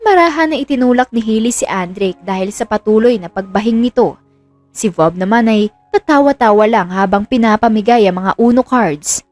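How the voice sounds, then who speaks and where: A woman's voice: fairly high pitch, expressive, normal speed, darkish tone. A woman reading scripted narration of a romantic drama as the storyteller. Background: music.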